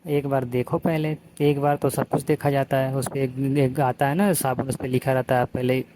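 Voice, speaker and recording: A man acts out a flirtatious younger brother-in-law with an eastern Hindi-belt accent. His voice is mellow and his talk is colloquial and persuasive, like a staged phone drama. A little noise sits in the call recording. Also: medium pitch, flat delivery, moderate pace, natural voice. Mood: coaxing. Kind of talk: staged casual phone conversation.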